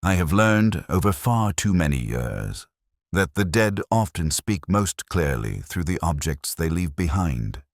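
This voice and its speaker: A man narrating a first-person detective story in a measured, literary and brooding manner. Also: low voice, very animated, no echo, clean studio sound.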